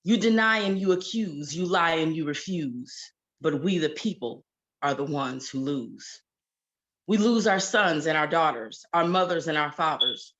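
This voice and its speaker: A woman's voice: deep voice, animated, measured pace, fairly bright tone, highs cut off. A woman reciting spoken-word protest poetry in an emphatic, rhythmic and indignant way. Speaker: poet reading own work.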